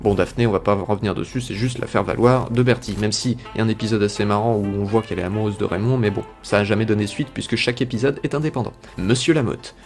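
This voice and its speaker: A man's voice: on the low side, steady tone, fast talker, hardly any pauses, soft background music. A man reading a scripted video review as narrator, with a wry, tongue-in-cheek manner.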